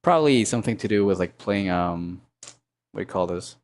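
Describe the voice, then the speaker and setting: Gravelly low-voiced man rambling while animating. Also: animated, a little fast, a little mellow. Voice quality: low baritone voice. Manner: rambling, thinks aloud, hums and mutters sound effects. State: sore throat. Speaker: animator streaming while drawing. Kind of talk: casual live-stream chat while working.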